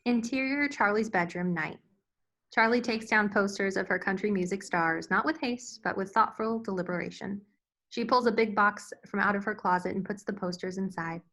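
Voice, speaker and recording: Woman narrating screenplay directions, medium pitch. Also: moderate pace, fairly bright tone. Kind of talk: scripted narration, screenplay reading.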